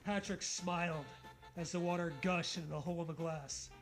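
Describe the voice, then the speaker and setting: Casting: male comedy reader, high voice, mock-serious delivery with joking asides, soft music behind. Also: fairly even intonation, normal speed. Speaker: co-host reading a fan fiction aloud. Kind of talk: comedic dramatic reading with asides.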